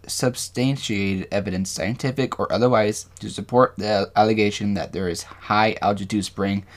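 A man co-hosting a podcast, talking casually and reading from notes, with halting, rambling explanations. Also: lowish voice, steady tone. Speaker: podcast co-host. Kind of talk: casual discussion with some reading aloud from notes.

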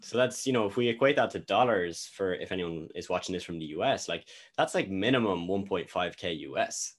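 A man hosting an online interview in a relaxed, casual way, often repeating words and using fillers like "you know". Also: sits low, brisk, husky. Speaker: host.

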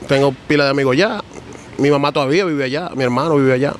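A man's voice: medium pitch, quick pace, a little hiss or hum. A man who grew up in New York, chatting as a podcast guest and telling his story in loose, slangy talk. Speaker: guest, trader. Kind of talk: casual podcast chat.

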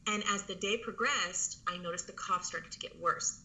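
A woman's voice: lowish voice, moderate pace, bright, forceful tone, slight background noise, rough recording. A woman in her thirties, an interview guest telling her story in a casual, rambling way with lots of fillers and restarts. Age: 30s.

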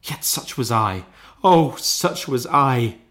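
Man voicing an anguished old ghost, swelling high. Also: animated, fairly bright tone. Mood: anguished wail. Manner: declamatory, lamenting, drawn-out emphasis. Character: anguished old male ghost, mournful, remorseful, solemn. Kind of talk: dramatised classic ghost story.

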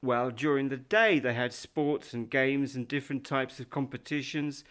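A man reading scripted answers in an English lesson dialogue, relaxed and unhurried. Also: medium pitch, clean tone.

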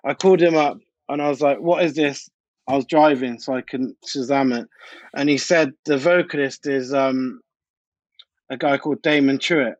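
A man's voice: medium pitch, steady tone, moderate pace, dry recording, lo-fi sound. A man speaking as an interview guest in a casual, informal, slangy way. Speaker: DJ and music producer, interview guest.

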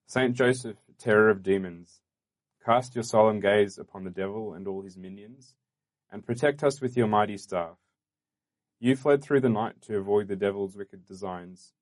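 Earnest low-voiced male podcast host. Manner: earnest, measured and welcoming, with fillers. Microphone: studio microphone.

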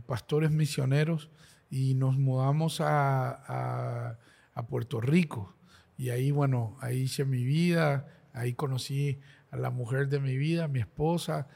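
A Venezuelan man with a Venezuelan accent, a singer-songwriter guest explaining things in a friendly, warm way. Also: medium pitch, creaky, long reverb tail. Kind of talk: casual interview.

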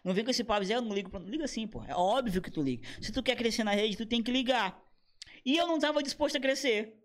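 A man in his thirties, a comedian and motion designer, chatting casually in a slangy, animated way with quoted asides. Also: very high-pitched, racing, a little hiss or hum. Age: 30s. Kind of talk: casual podcast conversation.

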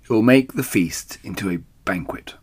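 A man voicing a fatherly fox leader in a storybook, suave and measured, savouring his words. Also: lowish voice, darkish tone, natural voice. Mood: quietly pleased.

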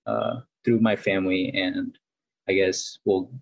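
A man's voice: low voice, level tone, measured pace, dry recording, dull audio. A man in his twenties from Peru, answering interview questions hesitantly with many fillers and restarts. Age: 20s. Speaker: guest.

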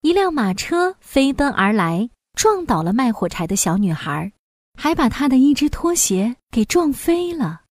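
A woman storyteller reading a scripted fairy tale for young children in an expressive manner. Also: high voice, unhurried, no room sound, clean studio sound.

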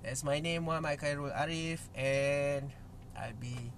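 A young Malaysian man, a native Malay speaker, talks casually in English on his own podcast. He speaks unhurriedly with a slightly raised pitch, stumbling through fillers and restarts. A faint hiss runs behind his voice. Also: steady tone. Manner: halting, many fillers and restarts, chuckles. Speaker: podcast host. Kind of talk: casual solo podcast intro.

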